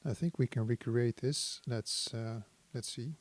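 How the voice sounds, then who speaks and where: A man giving a casual technical tutorial, thinking aloud in a hesitant, halting, analytical way. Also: medium pitch, heavy background noise. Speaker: synthesizer demonstrator.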